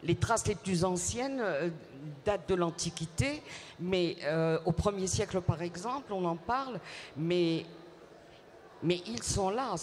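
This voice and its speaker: A woman author answers interview questions in a measured, reflective voice. She hesitates often and restarts phrases as she thinks aloud, and it sounds like it was recorded at a public book fair. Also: lowish voice, lively intonation, darkish tone. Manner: reflective, measured, many hesitations and repeated words. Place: book fair at a city hall.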